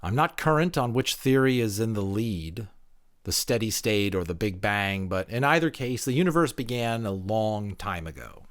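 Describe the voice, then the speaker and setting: A man playing an educated, unsure male pupil in an audiobook, halting as he thinks aloud and gropes for words. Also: fairly low pitch, lively intonation, natural voice. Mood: uncertain, groping. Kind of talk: dramatic audiobook character acting.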